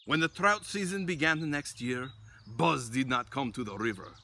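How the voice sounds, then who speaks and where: A man narrating a children's story as an expressive storyteller. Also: mid-range voice, moderate pace.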